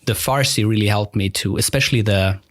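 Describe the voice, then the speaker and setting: A man's voice: lowish voice, a little fast, dry recording, studio quality. A German man hosting a podcast, talking casually in a rambling, self-deprecating way with many restarts. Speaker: podcast host. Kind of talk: casual conversation.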